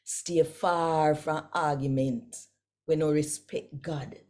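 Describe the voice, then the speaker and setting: A Jamaican woman giving an expressive, deliberate devotional scripture reading with measured, emphatic delivery, as a host would. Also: deep voice.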